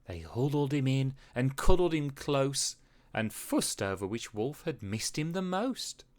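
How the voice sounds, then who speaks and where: A storybook narrator reads rhyming verse for children. The delivery is unhurried and full of dramatic swings, with expressive phrasing throughout. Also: medium pitch. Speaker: storybook reader. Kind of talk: children's read-aloud narration in rhyme.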